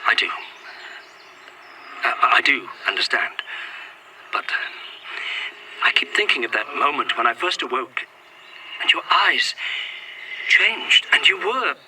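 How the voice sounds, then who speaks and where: A distant, noisy field recording carries a melancholy Victorian English gentleman. He is a man of formal, earnest, old-fashioned phrasing and middling pitch, as heard in a found-footage horror drama. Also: very animated, slow, long pauses. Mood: sorrowful, earnest. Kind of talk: found-footage audio drama, recorded diary monologue and dialogue.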